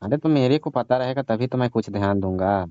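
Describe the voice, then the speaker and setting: A man's voice: medium pitch, brisk, dark tone, no echo, natural voice. Man playing father-in-law, prodding, suggestive, colloquial, comic phone skit, dry muffled recording. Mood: concerned, suggestive. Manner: prodding, colloquial, mock-innocent.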